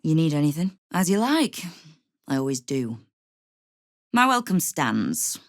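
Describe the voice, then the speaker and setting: A deep-toned female crime boss from a video game. She is tough and sardonic, giving orders and veiled threats with wry authority. The sound is dry, with no room around the voice. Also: very animated, a little slow, no echo, full character voice. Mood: cool menace. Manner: wry, menacing, commanding. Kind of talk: dramatic video game character dialogue.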